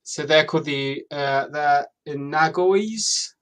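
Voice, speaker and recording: A man's voice: a little high, takes it slowly, a bit bright, clear, resonant tone. A man co-hosting a casual podcast, chatting informally and repeating words as he stammers through explanations. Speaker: podcast co-host. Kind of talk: casual pop-culture discussion.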